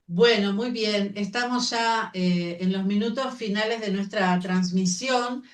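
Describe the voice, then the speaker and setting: Woman host in an echoey room, distant. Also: medium pitch. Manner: gracious, deliberate, thankful. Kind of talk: closing announcements on a livestream. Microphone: video call.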